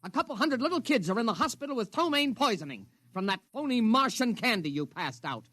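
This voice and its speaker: Scornful hothead, old-time radio drama: high, animated, sarcastic, barking. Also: male voice, a little fast, dry recording, clean studio sound, full character voice. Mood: angry, scornful. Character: angry, scornful man barring the way. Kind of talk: 1950s radio drama acting. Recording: 1950s radio drama recording.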